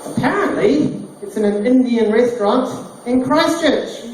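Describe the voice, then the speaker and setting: A man doing stand-up one-liners in a deadpan delivery. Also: very high-pitched, a little slow. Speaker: stand-up comedian. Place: small bar stage, roomy and echoey. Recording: echoey distant bar recording, noisy. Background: small crowd laughing, bar noise.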